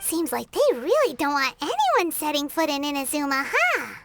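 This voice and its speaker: A woman voicing a tiny, chirpy and blunt fairy companion in a video game, with a high squeaky voice and an excitable, indignant manner. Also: moderate pace, over music. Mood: curious.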